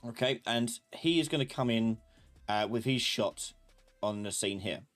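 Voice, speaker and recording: A man teaching a live software class, thinking aloud in a casual, informal way as he explains step by step. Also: medium pitch, moderate pace, faint music behind.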